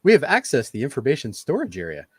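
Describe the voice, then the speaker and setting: A man acting an earnest, precise android officer in a fan radio play, with formal, measured wording. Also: medium pitch, huge pitch swings, heavy background noise. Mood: earnest, pondering. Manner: formal, measured, wordy phrasing.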